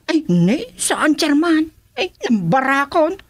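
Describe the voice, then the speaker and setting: A woman's voice: sits high, big pitch swings, takes it slowly, no room sound, full character voice. A woman playing a gossipy, slyly suspicious village woman in a comedic radio drama. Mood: curious.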